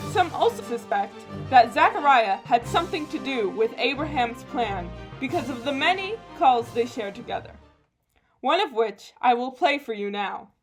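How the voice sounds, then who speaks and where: A woman reading scripted true crime narration in a matter-of-fact storytelling way. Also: high-pitched. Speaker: narrator and host of a mock true crime series. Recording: music behind the voice. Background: background music.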